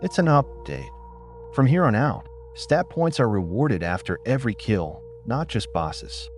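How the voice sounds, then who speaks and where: A man's voice: medium pitch, big pitch swings, measured pace, a little hiss or hum. A man voicing a teasing, casual female lab technician in an audiobook. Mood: casual, reassuring. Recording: music behind the voice.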